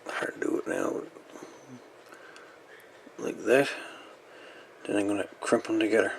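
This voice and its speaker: Gravelly man talking through a repair. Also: lowish voice, a little hiss or hum. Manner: halting, folksy, colloquial grammar. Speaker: repair video host. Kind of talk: casual hands-on repair walkthrough. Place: indoor electronics workbench.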